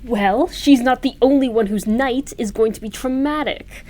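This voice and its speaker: A woman's voice: a little high, normal speed, a little mellow, lightly acted. An actress playing a jealous, indignant girlfriend in a comedy audio drama, sarcastic and confrontational.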